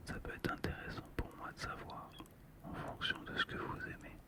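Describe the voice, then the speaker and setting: An ASMR host speaking in a breathy, unvoiced whisper, gently and soothingly, close to the microphone. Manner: slow, gentle, soothing delivery. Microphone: close ASMR microphone, monitored on headphones.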